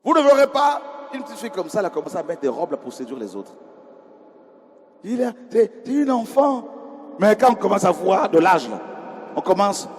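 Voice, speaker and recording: A man's voice: high voice, big pitch swings, fairly quick, breathy edge. Man, preacher, sermon, conversational, asks the congregation questions, long hall reverb. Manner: conversational, questions the congregation, swings from quiet to loud cries. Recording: hall reverb with faint ambience.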